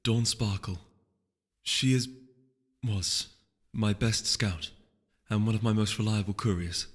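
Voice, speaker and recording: A man voicing a grave, weary, regal pony princess in a storybook reading, speaking softly and in measured phrases. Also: lowish voice, long reverb tail. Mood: grave, reluctant.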